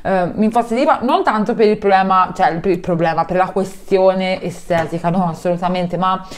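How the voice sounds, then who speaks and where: A woman's voice: on the low side, normal speed. A young woman talking casually to camera from her living room, chatty, with many fillers and self-corrections. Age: young adult. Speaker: vlogger. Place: bright living room at home.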